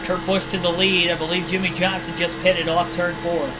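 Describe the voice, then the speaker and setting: A man giving steady play-by-play commentary while streaming a racing video game, often hedging his calls. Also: high-pitched, normal speed, rough recording. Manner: steady race-caller delivery, hedging guesses. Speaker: game livestreamer. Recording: video game music behind. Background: game music.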